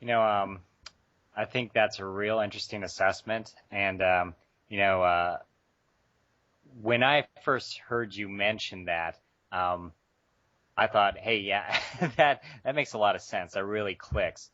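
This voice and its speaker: A man's voice: fairly low pitch, unhurried, fairly bright tone, a little hiss or hum. A man appearing as a podcast guest over a call, speaking thoughtfully with frequent "um"s. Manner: thoughtful, frequent "um"s, laughs easily. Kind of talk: casual podcast discussion. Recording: dry lo-fi call audio.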